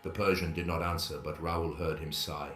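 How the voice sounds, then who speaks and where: A man's voice: very deep voice, flat delivery, normal speed. A man narrating a scripted suspense story in a dramatic storytelling style. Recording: echoey, roomy sound with music.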